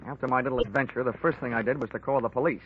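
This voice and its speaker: A man's voice: mid-range voice, fairly even intonation, rapid-fire, noisy background, close to an everyday voice. An actor playing a hard-boiled male insurance investigator who narrates his own case in a 1940s radio drama, dry and wisecracking. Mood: terse narration. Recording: muffled old radio broadcast with music.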